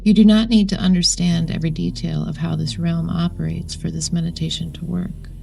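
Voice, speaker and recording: Low, mellow woman narrating a guided meditation. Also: little pitch movement, gravelly. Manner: solemn, measured, deliberate phrasing. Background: background music.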